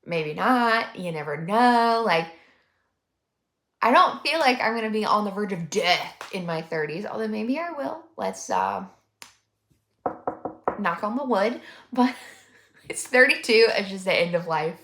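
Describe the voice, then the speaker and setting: A woman's voice: mid-range voice, sing-song, unhurried, pauses often, fairly bright tone. Young woman reaction host, sarcastic and mocking, chatty, roomy echoey sound. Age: 20s. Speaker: commentary YouTuber. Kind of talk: reaction commentary on a vlog clip. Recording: roomy echo.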